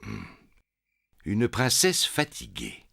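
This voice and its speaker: A man's voice: on the low side, wildly swooping pitch, slow, gravelly, over loud music, heavily acted. A man voicing a good-natured, boastful daddy pig in a French children's cartoon, blustering and a little hesitant. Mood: jovial storytelling. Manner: blustering, hesitant when caught out.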